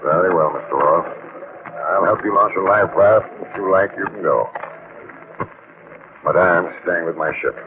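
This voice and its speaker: Gruff, low-voiced sea captain. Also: male voice, a little slow, long pauses. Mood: resolute. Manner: gruff, commanding, clipped orders. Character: stern, stubborn male sea captain. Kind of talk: 1940s radio acting. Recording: scratchy, tinny old-time radio recording.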